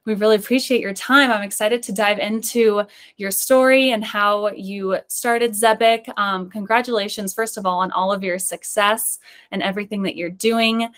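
A woman's voice: medium pitch, steady tone, moderate pace, a bit bright. A young woman hosting an interview show over a video call, presenting in a prepared introduction-and-questions style. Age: young adult. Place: indoor room, on a video call. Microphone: video call microphone.

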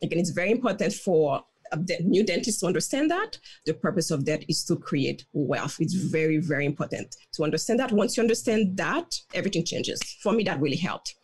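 A woman's voice: fairly low pitch, lively intonation, fairly quick, hardly any pauses, darkish tone, slightly rough. A woman in her thirties who grew up in Haiti, a dentist guest explaining her views earnestly on a headset mic at home. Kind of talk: interview conversation. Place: home room.

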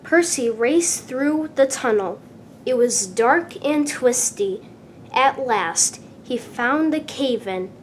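A woman reading a children's story aloud, with deliberate, expressive emphasis. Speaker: storybook reader. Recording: clear background noise with low rumble.

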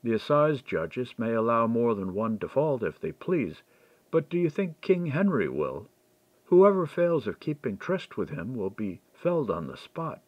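A man voicing a scheming medieval noblewoman in an audiobook, smooth, coaxing and persuasive. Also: medium pitch, big pitch swings, measured pace, no room sound, dull audio. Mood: coaxing, sly.

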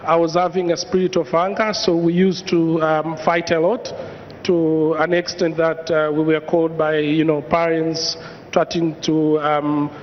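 A man from Tanzania gives a personal testimony, hesitating often and filling gaps with ums. His voice is a little high for a man. The recording is dull, with long reverb from a large hall. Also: flat delivery, unhurried, a little hiss or hum. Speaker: church congregant. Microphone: handheld mic. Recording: dull echoey hall sound.

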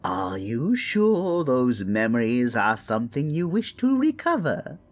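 A woman's voice: extremely low pitch, very animated, darkish tone, faint music behind, exaggerated voice. A woman voicing a genteel, sly witch in an audio roleplay, coaxing and knowing, drawing out her words theatrically. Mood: probing, knowing. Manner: drawn-out, coaxing, calls listener dear.

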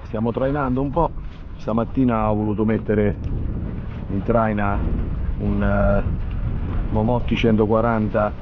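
A man's voice: on the low side, measured pace, long pauses. A man in his thirties, a fishing vlogger, talking in an easygoing way outdoors on a kayak by the sea into an action camera held at arm's length. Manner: relaxed, easygoing commentary. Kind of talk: casual outdoor vlog narration. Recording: dull action-camera audio with wind and water noise.

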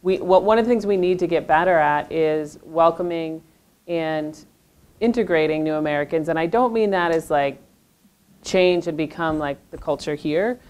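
A woman's voice: deep voice, normal speed, a little mellow. A woman panelist speaking earnestly in a lecture room, searching for words with frequent restarts. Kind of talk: panel discussion.

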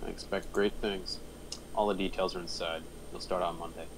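A man's voice: low voice, little pitch movement, brisk, background noise. A man playing a blunt, profane, domineering boss in a screenplay table read. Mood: commanding. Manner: forceful, blunt. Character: profane, domineering big-shot male boss.

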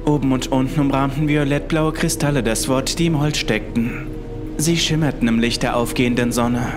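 A man's voice: medium pitch, steady tone, moderate pace. A man reading a scripted first-person horror story as its narrator. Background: music.

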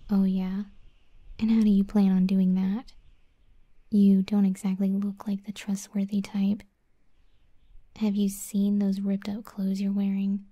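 A woman voicing a brash but begrudgingly kind tsundere scorpion girl in a soft, whispered ASMR roleplay, teasing and slangy, close to the microphone. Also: mid-range voice, no echo. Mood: teasing, demanding. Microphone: close, dry ASMR microphone.

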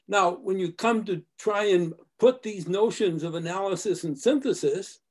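An older man speaking on a video call from his study, his voice sitting notably high for a man. He explains in a measured, lecturing way, pausing and restarting as he builds his argument. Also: a little mellow. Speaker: discussion group member. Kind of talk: casual academic discussion.

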